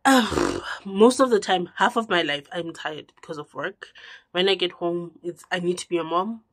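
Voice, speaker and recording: A congested young woman chatting to camera in a small room, her voice rising and then dropping to a low murmur. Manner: chatty, with drawn-out words and sudden drops to a low murmur. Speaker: vlogger. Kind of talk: casual direct-to-camera chat.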